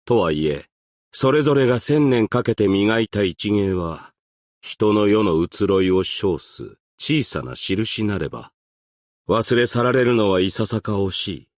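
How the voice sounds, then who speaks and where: Deep, unhurried, stern ancient strategist. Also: male voice, lively intonation, no room sound, studio quality. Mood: solemn, resigned. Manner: formal, archaic, measured, reserved diction. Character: Wang, composed, stern, ancient male strategist and Go master. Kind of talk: scripted game character voice lines.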